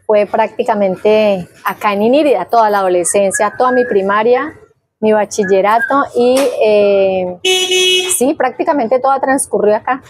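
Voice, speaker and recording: A woman's voice: mid-range voice, moderate pace, a little mellow, dry recording. A woman in her fifties from Guainía with a Colombian accent, casually recalling her life in an interview, telling it vividly with diminutives and sound words. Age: 50s. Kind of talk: casual personal recollection.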